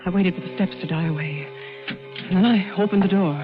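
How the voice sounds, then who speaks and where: An actress playing a tense woman who narrates her part in a murder plot, in deliberate 1940s radio suspense style. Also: lowish voice, natural voice. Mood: urgent, dread. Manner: dramatic, deliberate, suspenseful delivery. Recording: muffled vintage radio recording, loud music behind.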